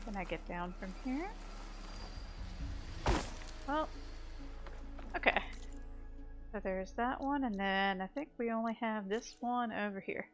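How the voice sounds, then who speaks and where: A woman's voice: medium pitch, takes it slowly, pauses often, over music. A woman giving casual, chatty video game commentary, thinking aloud as she plays.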